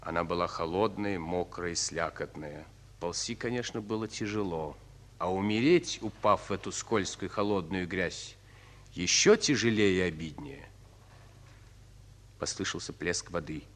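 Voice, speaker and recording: An actor narrating a wartime radio drama as a grave storyteller, in a solemn, deliberate, dramatic manner. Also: male voice, low-pitched, a bit bright. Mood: tense, hushed.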